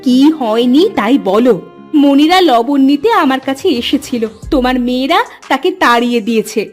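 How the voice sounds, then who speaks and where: A woman's voice: sits high, moderate pace, natural voice. A woman voicing a kind-hearted village mother in a cartoon, reasoning and chiding, with music behind her. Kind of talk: cartoon character acting.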